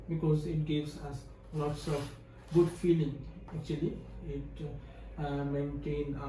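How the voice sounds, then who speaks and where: A young man from Bangladesh with a Bangladeshi English accent, answering as a test candidate in hesitant, halting English full of fillers. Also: fairly high pitch, mellow, a little hiss or hum. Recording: very echoey, from far off, faint ambience.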